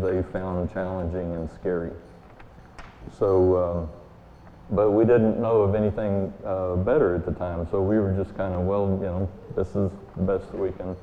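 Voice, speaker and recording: Booming low-voiced man preaching in an echoey church. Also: slight background noise. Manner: folksy, relaxed storytelling with many fillers. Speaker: guest speaker. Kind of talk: sermon with personal story. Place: church sanctuary. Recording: echoey hall, tinny phone-like sound.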